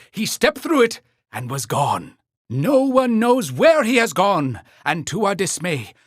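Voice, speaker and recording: Excitable little-town creature mayor for a video game: high-pitched, formal, grandly proclaiming, dry studio sound. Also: male voice, no room sound, exaggerated voice. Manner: theatrical, proclaiming, formal storybook phrasing. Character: animated Funkey town mayor, earnest, grandly celebratory storyteller. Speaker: mayor narrating game cutscenes. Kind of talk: scripted game cutscene narration.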